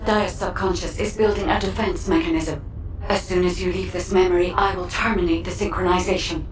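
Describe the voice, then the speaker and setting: A woman's voice: lowish voice, steady tone, fairly quick, close to an everyday voice. Woman as an urgent, echoing technical operator. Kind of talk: video game cutscene acting. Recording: echoing, distant, faint music.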